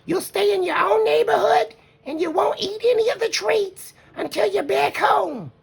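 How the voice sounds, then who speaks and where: A narrator voicing a motherly, sensible female bear in a children's storybook, gently instructive and proper. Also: very high-pitched, normal speed, gravelly, pitched above the performer's own voice, full character voice. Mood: firm, reassuring.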